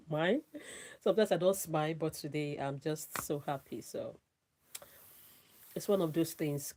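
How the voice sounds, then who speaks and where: A woman's voice: medium pitch, mellow, a little hiss or hum. A woman chatting casually as a live streamer, friendly and effusive with her listeners. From Nigeria. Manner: effusive, friendly, often repeats thanks and calls out names. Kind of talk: casual live-stream chat with shout-outs.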